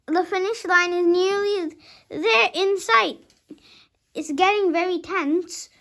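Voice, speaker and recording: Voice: very high voice, slow, bright, forceful tone. A young child of about five reading a storybook aloud dramatically, sometimes stumbling over words and rereading them.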